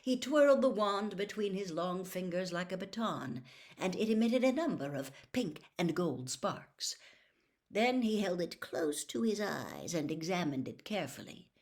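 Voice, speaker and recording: Storybook narrator: woman with a slightly low voice, expressive read-aloud delivery. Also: a little slow. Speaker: audiobook narrator.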